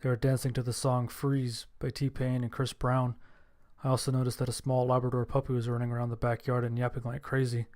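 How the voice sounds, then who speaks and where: A man's voice: mid-range voice, monotone, fairly quick, a little mellow, natural voice. A man playing an injured survivor who narrates his own story in scripted horror audio drama style. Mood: somber storytelling. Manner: scripted, descriptive storytelling with casual profanity.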